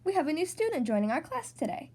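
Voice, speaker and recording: A brisk, cheerful schoolteacher put on by a woman reader from a children's storybook. She instructs her class in a high voice with very lively intonation. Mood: welcoming.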